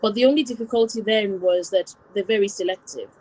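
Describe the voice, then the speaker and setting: A woman's voice: medium pitch, brisk, a little mellow, poor audio. A woman born in Wales and raised in Nigeria, telling her personal story step by step as an interview guest, in a reflective way. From born in Wales, raised in Nigeria from about nine.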